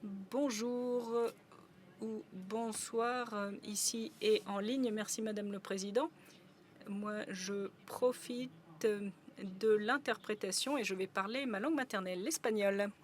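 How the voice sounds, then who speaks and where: A woman doing simultaneous interpretation at a conference, a steady relay full of brief hesitations. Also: medium pitch, unhurried, slight background noise.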